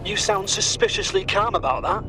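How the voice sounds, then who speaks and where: An actor playing a fretful government minister on a phone line in a video game, exasperated. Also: male voice, high voice, expressive, normal speed, noisy background.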